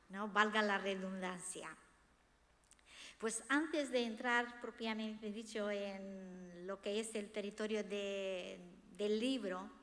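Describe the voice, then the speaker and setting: A woman from Romania, a native Romanian speaker, giving an earnest, expressive personal talk as an author to an audience. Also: mid-range voice. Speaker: author presenting her book. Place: hall of a cultural institution, before a seated audience. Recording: echoey hall with long reverb.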